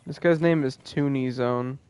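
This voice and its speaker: A man's voice: medium pitch, unhurried, darkish tone, clean tone, no room sound. A twenty-year-old man streaming a game, talking casually and cursing often. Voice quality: profane, laid-back. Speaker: gaming streamer. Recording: dull, dry, lo-fi mic sound.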